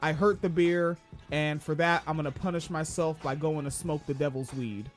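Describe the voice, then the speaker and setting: Man, high-pitched voice, casual live-stream co-host, rambling and swearing, faint music behind. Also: fairly even intonation, normal speed. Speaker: podcast co-host.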